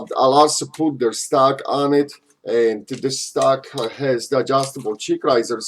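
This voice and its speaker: A man from Poland, hosting a casual live-stream Q&A and answering chat questions in a loose, chatty way full of fillers. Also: medium pitch. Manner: loose and chatty, frequent "uh" and "you know", slightly non-native phrasing.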